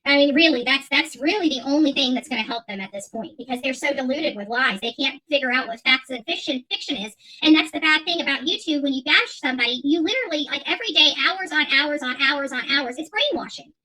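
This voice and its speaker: A woman's voice: high voice, animated, fast, hardly any pauses, bright, forceful tone, rough recording. A middle-aged woman giving an unscripted livestream rant as channel host, talking emphatically and combatively and repeating her phrases. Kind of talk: unscripted livestream rant, reading and answering viewer chat.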